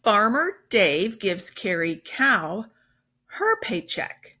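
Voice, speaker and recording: Slow, lively woman reading to children. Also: mid-range voice. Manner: emphatic, simple explaining for children. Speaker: teacher. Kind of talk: children's story read-aloud with explanations.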